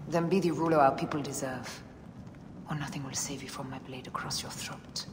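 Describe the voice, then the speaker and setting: A woman's voice: a bass voice, steady tone, moderate pace, noisy background. An actress playing a fierce, solemn Egyptian woman assassin in a dramatic video game cutscene, intense and given to menacing whispers. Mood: bitter menace. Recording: long hall reverb, loud music behind.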